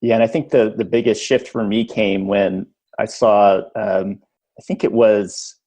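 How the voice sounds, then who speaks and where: A man's voice: lowish voice, steady tone, a little slow, dark tone. A man hosting a podcast who speaks thoughtfully and hesitantly, searching for his words. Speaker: podcast host. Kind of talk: conversational podcast interview.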